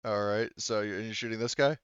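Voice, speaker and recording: A man's voice: on the low side, fairly even intonation, fairly quick, a bit bright, highs cut off, slight background noise. A man acting as game master, casually explaining rules and narrating a tabletop game step by step.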